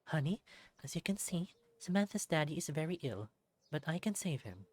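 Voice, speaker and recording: A narrator voicing a gentle, caring mother fox healer in a visual novel, soft, tender and serious. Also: mid-range voice, sing-song, normal speed, no room sound, voice pushed up.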